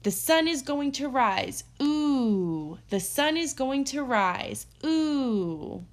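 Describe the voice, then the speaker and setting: A woman's voice: high-pitched, very animated, very slow, pitched above the performer's own voice, heavily acted. A woman voicing a little brown squirrel in a children's storybook, persistent and teasing, with a sing-song manner. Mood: chanting, teasing. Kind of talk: children's storybook read-aloud.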